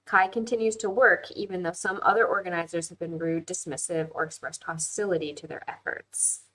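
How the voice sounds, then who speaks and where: Chatty woman with a lowish voice. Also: steady tone, brisk, sharp tone, low-quality recording. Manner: chatty and candid, with frequent um fillers and easy laughs. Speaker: host of a live online consent class. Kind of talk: casual live-stream chat answering viewer comments.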